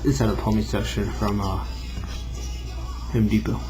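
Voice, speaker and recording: Low-voiced guy, casual demo. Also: fairly even intonation, moderate pace, over music. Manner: informal, rambling, self-deprecating. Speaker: hobbyist builder showing a homemade project. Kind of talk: casual off-the-cuff demo narration.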